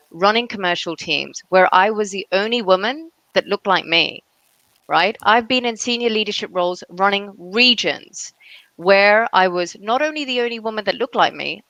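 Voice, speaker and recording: A woman's voice: medium pitch, moderate pace, sharp tone, no echo, poor audio. A middle-aged woman speaking as a panelist about her own career and beliefs, emphatic and often checking in with "right?". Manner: emphatic, punctuates points with "right?" and "you know". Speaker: conference panelist, travel industry executive.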